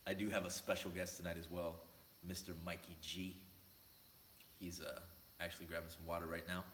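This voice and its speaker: Deep-voiced man hosting a livestream. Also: level tone, a little fast, a bit bright, reverberant, slight background noise. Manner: loose, joking, greets chat viewers by name. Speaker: livestream host. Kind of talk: casual livestream chat. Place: indoor room, laptop mic. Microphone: laptop mic, no headphones.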